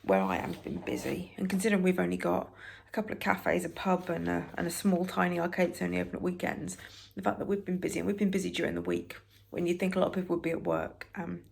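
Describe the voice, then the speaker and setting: A British woman with a raspy voice, softly reading newspaper stories for ASMR and adding wry, halting asides. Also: fairly low pitch, a little hiss or hum. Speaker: ASMR creator.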